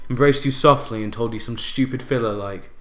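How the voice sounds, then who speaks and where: An actor playing a bitter, broken widower in a radio play monologue, brooding and theatrical, with deliberate pauses. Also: male voice, mid-range voice, lightly acted. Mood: bitter, mocking. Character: bitter, broken widower recounting his unhappy marriage.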